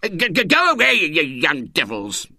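A man's voice: on the high side, huge pitch swings, measured pace, vocal fry, bright, forceful tone, dry recording, studio quality, voice pushed up, exaggerated voice. A man voicing an irate older man who sputters at noisy boys. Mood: furious.

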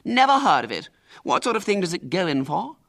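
A spoiled, imperious little boy who talks down to everyone, as a male reader puts him on. His pitch swings widely, with a gravelly edge underneath, and every line sounds self-important. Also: big pitch swings, a little fast, fairly bright tone, full character voice.

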